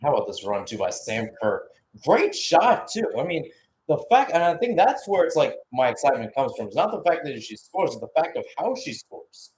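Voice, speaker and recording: A man's voice: a little high, big pitch swings, moderate pace, a little mellow, a little hiss or hum, low-quality recording. Man calling live football play-by-play. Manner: conversational, analytical asides between short clipped calls. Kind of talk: live radio-style sports commentary.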